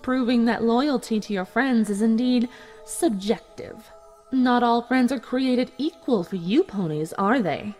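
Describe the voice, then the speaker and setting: A woman voicing a cruel female villain pony in a dramatic fan fiction reading, deliberate and mockingly sympathetic. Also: fairly high pitch, darkish tone, close to an everyday voice. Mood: feigned sorrow. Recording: music behind the voice.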